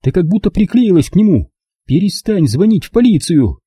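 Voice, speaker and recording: A man reads the part of a stubborn grown daughter in an audiobook. Her voice sits high, with a dark, muted tone. She argues curtly, defiant and defensive with a weary edge. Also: sing-song, fairly quick, breathy edge, no room sound, studio quality, full character voice. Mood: defiant, annoyed. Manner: curt, argumentative. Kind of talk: audiobook character dialogue.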